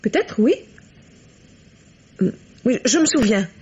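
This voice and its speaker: A woman's voice: a little high, lively intonation, slow pace, a little mellow, husky, heavily acted. An actress playing an evasive, coquettish woman suspect in a 1950s-style French radio drama, speaking hesitantly and coyly. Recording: dull, lo-fi vintage radio recording.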